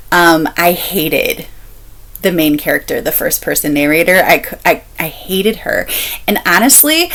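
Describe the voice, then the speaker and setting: A woman chatting casually as a book-review vlogger, candid and expressive, repeating phrases for emphasis. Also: on the low side. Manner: candid, expressive, laughs while talking.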